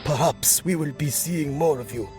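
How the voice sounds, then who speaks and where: A man voicing an old rat martial arts master who speaks in a calm, formal, measured way. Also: fairly high pitch, loud music behind the voice, natural voice.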